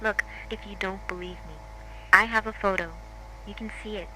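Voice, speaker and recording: A woman's voice: mid-range voice, fairly even intonation, moderate pace, background noise, natural voice. A woman playing a shaken crash survivor, giving a scripted first-person account step by step in a horror drama. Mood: defensive.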